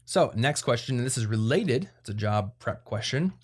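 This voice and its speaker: A man's voice: mid-range voice, expressive, normal speed. A man hosting an advice podcast, talking in a casual, conversational way as he explains things. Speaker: podcast host.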